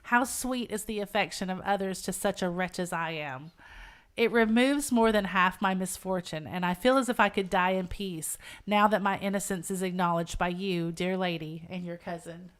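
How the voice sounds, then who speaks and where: A woman voicing a gentle, resigned young woman condemned to death, solemn and earnest, in a novel reading. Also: lowish voice, moderate pace, smooth, pitched below the performer's own voice, lightly acted. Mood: grateful, resigned.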